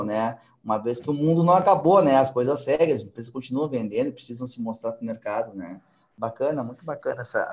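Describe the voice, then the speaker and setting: A man's voice: mid-range voice, darkish tone. A man in his forties hosting a live video call, summing up points and inviting viewer questions, ending phrases with tag questions. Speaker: live show host. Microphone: headset over video call.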